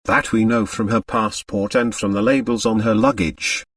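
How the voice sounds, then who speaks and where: A man's voice: lowish voice, steady tone, normal speed, fairly bright tone, no room sound, studio quality, far from the mic. A man voicing a pompous, self-important French police commissary, formal and officiously courteous, in an audiobook. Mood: grave, insistent.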